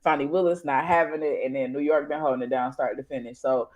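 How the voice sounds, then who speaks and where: A man co-hosting a casual political chat show, talking in animated, slangy bursts that rise to loud exclamations. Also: on the high side, fast, muffled recording. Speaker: podcast co-host.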